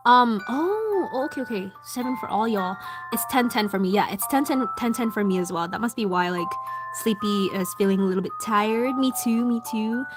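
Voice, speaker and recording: A young woman with a Filipino accent chatting casually with her livestream viewers while drawing, soft-spoken with lots of ums. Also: fairly high pitch, expressive, normal speed. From Philippines. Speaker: livestream host. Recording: background music. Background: music.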